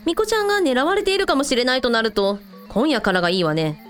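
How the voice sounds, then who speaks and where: 女性が演じる抑揚豊かな頼れる姉. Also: female voice, high voice, animated, quick pace, faint music behind. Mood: decisive. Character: dependable, outspoken elder sister. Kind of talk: amateur anime-like radio drama acting.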